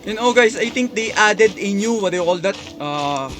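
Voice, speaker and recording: A man giving casual gameplay commentary, halting as he thinks aloud. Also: sits high, expressive. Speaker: game streamer. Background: game music.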